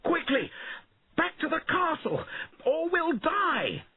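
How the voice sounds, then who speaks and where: A man voicing a proud, reckless young king who shouts his orders in a high, strained voice, heard over tinny phone-like audio. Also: huge pitch swings, slow, slightly rough, full character voice. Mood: shouting.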